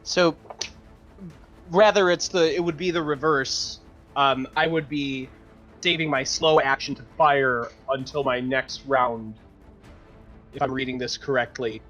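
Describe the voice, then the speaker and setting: A man's voice: mid-range voice, steady tone, measured pace, long pauses, fairly bright tone. A man playing a tabletop game, casually discussing the rules, with background music.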